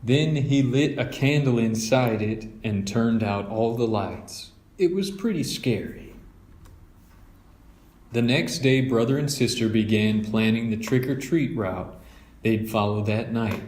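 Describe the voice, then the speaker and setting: A man's voice: mid-range voice, measured pace, a little mellow, reverberant. A man narrating a children's storybook as a read-aloud.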